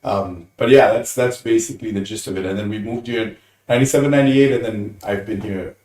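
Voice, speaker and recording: A man's voice: lowish voice, quick pace, darkish tone, roomy sound, recorded from a distance. A man in his late thirties with a mixed, American-leaning international accent and Tamil as his first language, chatting loosely as an interview guest, often restarting his sentences. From Malaysia. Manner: rambling, restarts often, laughs easily. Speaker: guest, business owner. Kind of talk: casual interview chat.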